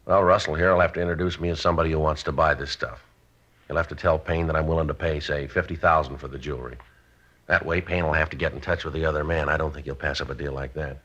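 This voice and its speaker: A deadpan 50s radio cop, super low voice, talks fast and clipped, old dull recording. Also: male voice. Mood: businesslike. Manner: clipped, deadpan, matter-of-fact. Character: stoic police sergeant narrating his case, calm and businesslike. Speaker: police detective and narrator. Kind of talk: 1950s radio police drama acting. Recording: dull vintage radio recording, faint music.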